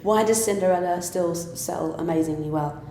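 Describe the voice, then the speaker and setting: A woman's voice: on the low side, normal speed, mellow. A woman, a pantomime writer and director, giving her views in an earnest, emphatic way. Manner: earnest, emphatic, occasional laugh. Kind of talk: conversational discussion. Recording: echoey room with faint background noise.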